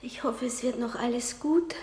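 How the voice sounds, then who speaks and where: An actress in a German classical radio drama playing a fragile, childlike young maiden driven mad by grief, drifting between song, laughter, tears and whispers. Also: female voice, on the high side, normal speed, dark tone, natural voice. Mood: tearful. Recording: echoey hall with long reverb.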